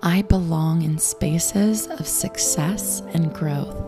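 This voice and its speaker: Raspy low-voiced woman reading affirmations. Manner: slow, soothing affirmation reading. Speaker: affirmation and meditation host. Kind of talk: guided affirmations. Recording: clear music bed behind voice.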